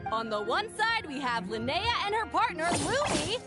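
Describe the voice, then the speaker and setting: A woman's voice: very high voice, very animated, normal speed, loud music behind the voice. A woman voicing a showy tournament announcer in a video game, introducing contestants. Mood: announcing.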